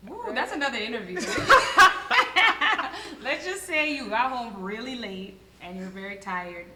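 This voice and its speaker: A woman's voice: fairly high pitch, lively intonation, pushed and bright, far from the mic, a little hiss or hum. Off-mic woman in an echoey, humming room. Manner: playful, halting restarts, giggly. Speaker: guest, actress. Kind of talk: casual interview chat.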